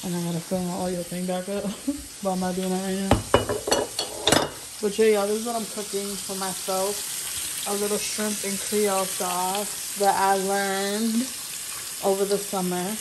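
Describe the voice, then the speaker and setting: Young woman, casual vlog, informal slang, rambling, even intonation, noisy phone recording. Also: medium pitch, unhurried. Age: young adult. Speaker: vlogger. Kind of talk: casual chat to camera. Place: parked car, then home kitchen. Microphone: phone held at arm's length.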